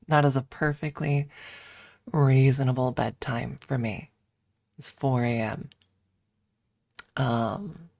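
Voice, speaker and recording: A woman streamer chatting casually in a soft, hesitant ASMR style with playful self-deprecation, close to the microphone. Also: extremely low pitch, no echo. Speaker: ASMR livestreamer. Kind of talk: ASMR, casual rambling chat.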